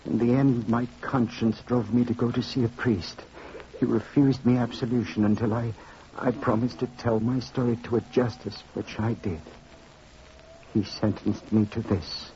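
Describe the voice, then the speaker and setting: An actor playing a grieving, guilt-haunted man in an old radio drama, halting and solemn as he confesses. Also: medium pitch, fairly even intonation, mellow, close to an everyday voice. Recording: dull lo-fi old radio, faint noise.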